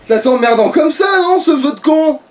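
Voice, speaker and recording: A man's voice: very high-pitched, animated, normal speed, darkish tone. A man giving casual, sweary, grumbling gaming commentary to a co-player. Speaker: player on a gaming let's play. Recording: distant mic, game music behind. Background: game music.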